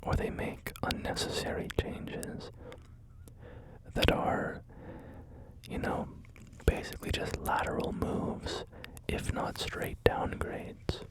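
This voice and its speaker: A man whispering through a relaxed ASMR gaming video, rambling and joking about himself with many fillers. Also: takes it slowly, rough recording. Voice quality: soft unvoiced whisper. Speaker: ASMR creator.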